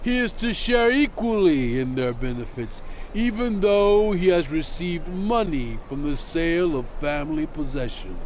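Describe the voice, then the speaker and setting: Prophet lawgiver, high male voice, solemn, declamatory, unhurried delivery, dramatized scripture reading. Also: lively intonation, slight background noise. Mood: solemn, instructive. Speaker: narrator voicing a prophet in a dramatized audio Bible. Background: background music.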